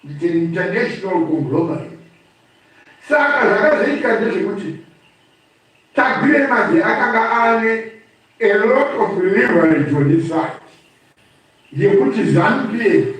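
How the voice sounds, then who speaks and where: A man with a Zimbabwean accent giving an impromptu political address, speaking emphatically like a storyteller and repeating phrases for effect. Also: high voice. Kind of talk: impromptu political address, mixing two languages. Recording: very echoey room, distant microphone.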